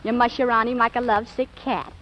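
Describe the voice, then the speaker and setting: A woman's voice: fairly high pitch, little pitch movement, fast, fairly bright tone, close to an everyday voice. An actress playing a sassy, dramatic teenage girl, sarcastic and theatrical, in 1940s radio style. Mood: scornful teasing. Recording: dull old radio broadcast recording.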